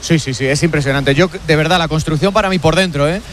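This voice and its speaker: Male football commentator, rapid and emphatic, on location with stadium ambience. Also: fairly high pitch, fairly bright tone, slight background noise. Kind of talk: casual, emphatic match-day report.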